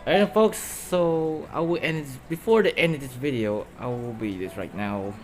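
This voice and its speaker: A man's voice: mid-range voice, normal speed, slight background noise. A man hosting a gameplay video with casual commentary in short, clipped remarks. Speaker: gaming video host. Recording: loud game music over voice. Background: game music.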